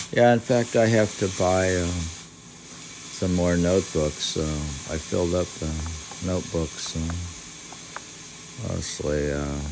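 Older man, very deep voice, heavy vocal fry, halting musing delivery, reading and reflecting aloud, faint background noise. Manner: halting, musing, talks to himself. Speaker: podcast host reading aloud and commenting. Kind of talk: book reading with rambling, reflective personal commentary.

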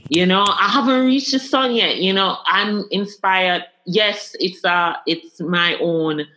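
Bright-voiced Jamaican woman, animated. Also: mid-range voice, slow, poor audio. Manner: animated, laughing often, emphatic repetitions. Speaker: guest. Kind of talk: candid interview conversation.

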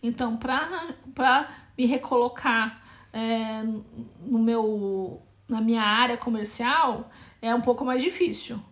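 A woman's voice: a little high, takes it slowly. Brazilian woman in her forties, thoughtful. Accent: Brazilian Portuguese. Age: 40s. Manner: thoughtful, hesitant, often restarts mid-phrase. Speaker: interviewee, Brazilian immigrant in Portugal. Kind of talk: casual family interview.

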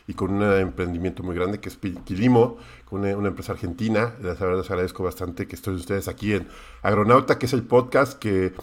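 A man's voice: low voice, quick pace. A man hosting a podcast, introducing a guest with many restarts and hesitations. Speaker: podcast host. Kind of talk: podcast interview.

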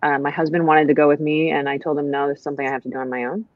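A woman's voice: deep voice, flat delivery, rapid-fire, no room sound, narrow, tinny audio. An American woman, a writer, talking reflectively as a guest in a casual conversation, with many fillers and restarts. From United States.

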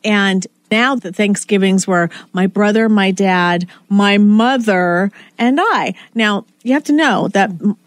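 A woman co-hosting a podcast in casual chat, animated and laughing as she retells family stories. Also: mid-range voice, slow, hardly any pauses, no echo. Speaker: podcast co-host.

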